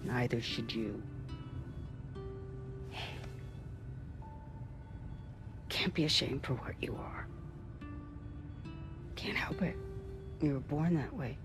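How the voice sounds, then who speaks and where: An actress playing a tender, bedridden older woman in a video game, gentle, wry and reassuring in a breathy voice. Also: very deep voice, expressive, a little slow, whispered, music in the background. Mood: firm, tender. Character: tender, weary old woman, bedridden elder. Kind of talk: naturalistic video game acting.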